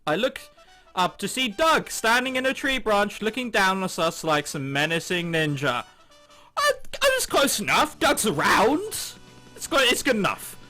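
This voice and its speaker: A man's voice: high-pitched, wildly swooping pitch, a little slow, pushed and bright. A man reading a visual novel aloud, with sarcastic asides and swearing. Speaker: let's play host. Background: music.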